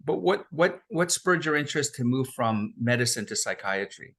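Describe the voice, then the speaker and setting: A man's voice: mid-range voice, lively intonation, normal speed, fairly bright tone. A man hosting a remote interview, asking long questions with hesitant restarts. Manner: hesitant, frequent fillers and restarts. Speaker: interview host.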